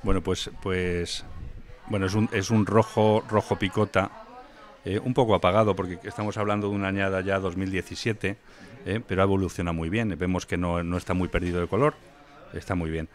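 Very deep-voiced man describing wine. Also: flat delivery, brisk. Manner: knowledgeable, rambling, many fillers and restarts. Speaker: wine expert guest. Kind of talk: casual conversational interview. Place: wine venue indoors.

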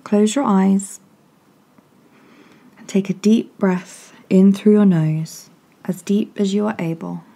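A woman softly and gently guiding a meditation in a calming, breathy voice with long pauses. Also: mid-range voice. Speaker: meditation guide. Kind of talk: guided meditation, soothing scripted narration.

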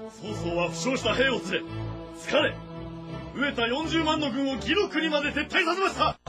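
Very high-voiced earnest army messenger. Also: male voice, animated, moderate pace, over music. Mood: excited, proud. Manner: formal, declamatory reporting. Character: dutiful, earnest male army messenger of ancient China. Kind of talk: Japanese historical audio drama acting.

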